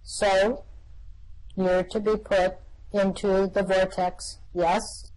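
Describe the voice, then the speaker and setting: A performer playing a calm, bodiless custodian of a machine with a slow, deadpan, matter-of-fact delivery in an amateur radio-play reading. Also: mid-range voice, low-quality recording.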